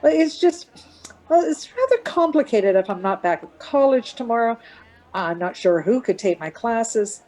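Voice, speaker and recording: A woman's voice: a little high, expressive, normal speed, darkish tone, low-quality recording. A woman playing a weary, anxious but reasonable middle-aged mother in conversation in a radio play. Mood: anxious, patient.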